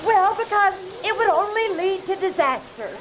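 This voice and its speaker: Woman playing a high-voiced, bossy mermaid big sister. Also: expressive, moderate pace, noisy background. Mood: cautioning. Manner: earnest, scolding, emphatic delivery. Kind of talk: old-time radio drama acting. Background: music.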